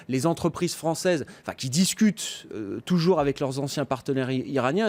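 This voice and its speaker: Male business interviewer, lightly high pitch, conversational, wandering question phrasing. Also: a little mellow. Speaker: business news interviewer, host.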